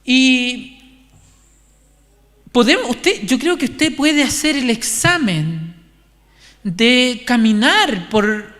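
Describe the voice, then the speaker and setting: A man with a Chilean accent giving an informal, conversational sermon, haltingly repeating and restarting his phrases. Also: very high-pitched, sharp tone. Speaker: preacher. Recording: echoey hall, long reverb.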